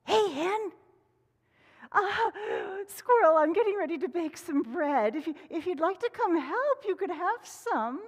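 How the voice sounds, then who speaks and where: A woman voicing a friendly, bustling female hen baker in a storybook tale, high and sing-song. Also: lively intonation, measured pace, long reverb tail. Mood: chirpy.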